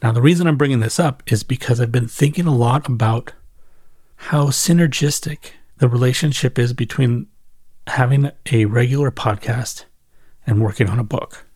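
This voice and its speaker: Husky middle-aged man thinking aloud. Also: mid-range voice, moderate pace, darkish tone, dry recording. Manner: conversational, thinking aloud, self-correcting. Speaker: podcast host. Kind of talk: casual reflective solo audio monologue.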